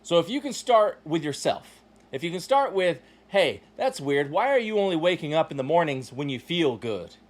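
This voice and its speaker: A man in his forties delivers a motivational podcast monologue with a slightly higher voice than most men. He talks bluntly and swears freely, punching some phrases hard and dropping others to a low aside. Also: sing-song, normal speed. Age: 40s. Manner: blunt and profane, swinging from loud emphatic bursts to low asides. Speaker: podcast host.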